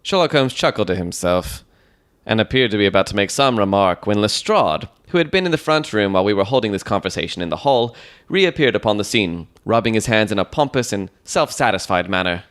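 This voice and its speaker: A male narrator reads a classic detective tale in the first person. His voice sits a little low, and he delivers the prose as scripted storytelling. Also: animated, brisk, a bit bright.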